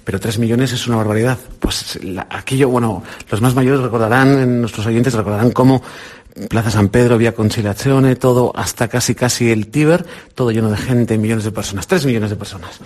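Husky middle-aged Spanish presenter, upbeat quizmaster with a children's group. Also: male voice, mid-range voice, darkish tone. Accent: Spain Spanish. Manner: encouraging, quizzing with quick questions. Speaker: radio presenter. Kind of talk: quiz with children on air. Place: indoor visit with a children's group.

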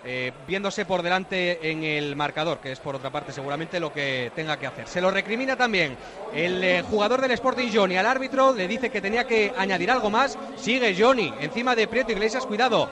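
A high-voiced man reports rapidly from the side of a football pitch. He describes what the players and the referee are doing as it happens. A loud stadium crowd fills the background.

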